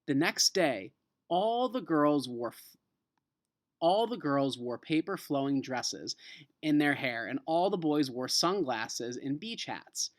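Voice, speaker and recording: A man with an American accent, an elementary school teacher reading a children's novel aloud and explaining it to a class in an expressive, emphatic way. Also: fairly high pitch, slow pace.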